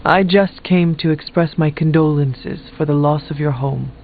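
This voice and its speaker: A man playing a calm, stoic warrior hero in a video game, speaking in a measured, earnest way. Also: on the high side, narrow, tinny audio, a little hiss or hum, close to an everyday voice. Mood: calm, consoling. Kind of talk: video game character dialogue.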